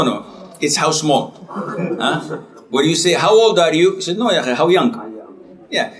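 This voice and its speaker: A man's voice: a little high, fairly bright tone. A middle-aged man giving a conversational classroom lecture, often correcting himself and putting questions to his students. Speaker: Islamic lecturer.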